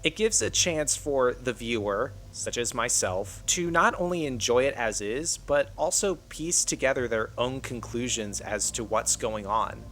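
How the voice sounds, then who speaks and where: A man's voice: medium pitch, expressive, a little slow, hardly any pauses, a bit bright, slight background noise. A man reading a scripted video essay as the narrator of an online channel.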